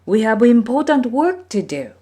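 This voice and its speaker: A woman's voice: a little high, very animated, normal speed, mellow, pitched above the performer's own voice. A woman voicing the scornful, exasperated older brothers in a storybook folktale, scoffing and dismissive.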